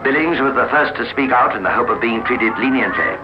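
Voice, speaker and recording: A man's voice: mid-range voice, animated, over music. Formal guy narrating old radio crime show, mid voice, bit muffled and noisy. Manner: formal, measured storytelling.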